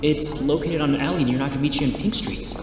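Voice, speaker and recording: A man's voice: fairly high pitch, fast, close to an everyday voice. A man playing an earnest young martial arts student in an amateur audio drama, speaking plainly and politely, often musing to himself. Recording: echoey hall, distant mic, noisy background.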